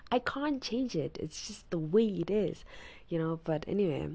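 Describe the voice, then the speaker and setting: Low raspy woman, hushed late-night talk. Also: expressive, moderate pace, a little hiss or hum. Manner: hushed and soft-spoken, often near a whisper; rambling, with constant "you know" and "like". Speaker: podcast host. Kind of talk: casual personal chat. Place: home at night, lying in bed.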